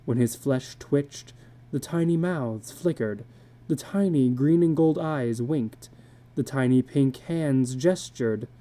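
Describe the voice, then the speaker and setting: A man narrating a literary story as a dramatic reading, with measured, deliberate phrasing. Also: medium pitch, warm tone.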